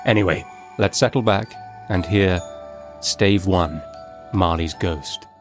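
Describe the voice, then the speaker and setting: A man's voice: deep voice, slow pace. Male host over faint music. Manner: light, joking asides. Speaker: series host. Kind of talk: spoken introduction to a reading.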